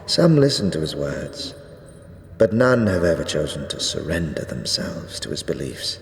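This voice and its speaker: An actor playing a solemn, stern undead priest, devout and archaic in speech, in a fantasy audio drama. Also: male voice, rumbling low, very animated, vocal fry, full character voice. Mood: troubled, grave. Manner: archaic, grave, measured pronouncements. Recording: echoey stone hall reverb, faint noise.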